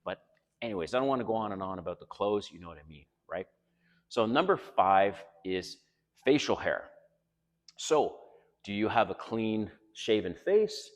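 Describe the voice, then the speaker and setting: A man's voice: lowish voice, lively intonation, moderate pace, big-room sound. An older man from Canada with a Canadian accent, giving blunt, frank dating advice straight to his viewers in a casual monologue.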